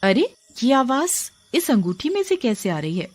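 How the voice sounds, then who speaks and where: A woman voicing a poor, loving, worried mother in a moral story, gentle and plaintive. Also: on the high side, big pitch swings, moderate pace, soft background music, pitched above the performer's own voice. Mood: puzzled. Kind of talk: animated moral story dialogue.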